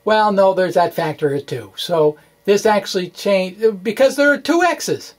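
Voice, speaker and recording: A man giving an informal science lecture in a conversational, somewhat halting way with chatty asides. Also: sits high, lively intonation. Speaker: physics explainer.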